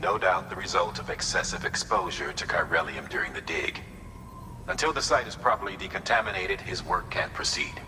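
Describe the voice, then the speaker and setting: An actor playing a calm male commander who briefs in a measured way. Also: sits low, background noise. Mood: briefing. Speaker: mission director character.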